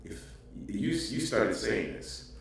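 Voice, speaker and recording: A man's voice: on the low side, expressive, slow, vocal fry, recorded from a distance. A man in his thirties, a podcast co-host, talking earnestly and reflectively in casual chat with many filler words. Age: 30s. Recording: echoey, off-mic with faint noise.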